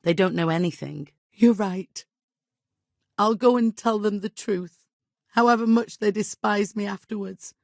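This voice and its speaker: An actress playing a middle-aged medieval village widow in a video game, devout and guilt-ridden, with an exasperated, imperious and plaintive manner. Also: female voice, mid-range voice, measured pace, creaky, a little mellow, dry recording, studio quality. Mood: guilty, remorseful. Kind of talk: dramatic video game dialogue acting.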